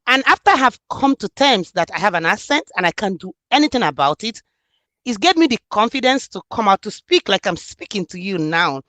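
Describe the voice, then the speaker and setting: A woman's voice: medium pitch, sing-song, brisk, a bit bright, dry recording. A woman from Nigeria with a Nigerian accent, speaking earnestly and reflectively in an interview about her book. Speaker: author and student. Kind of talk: interview conversation.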